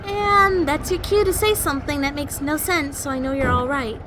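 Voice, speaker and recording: A woman's voice: high-pitched, normal speed, noisy background, natural voice. An actress playing a plucky, chatty young girl in an audio drama, breezy, wry and teasing.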